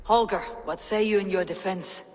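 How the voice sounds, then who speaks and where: An actress playing a steady Norse warrior who leads a settlement, speaking tersely and with authority. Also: female voice, medium pitch, normal speed, faint music behind, lightly acted. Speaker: Viking warrior settlement leader. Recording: long hall reverb, faint noise.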